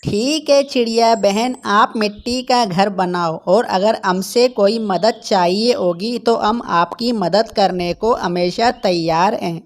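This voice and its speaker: A woman's voice: medium pitch, takes it slowly, hardly any pauses, natural voice. A woman voicing a loyal, protective male pigeon in a moral-story cartoon. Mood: friendly.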